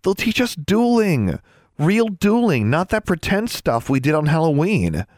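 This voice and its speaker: Storybook role: impulsive young schoolgirl, played by a male reader, raised pitch, lively swings, argumentative and keen. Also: fast talker, no echo, clean studio sound, natural voice. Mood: enthusiastic. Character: headstrong, eager young schoolgirl, quick to argue.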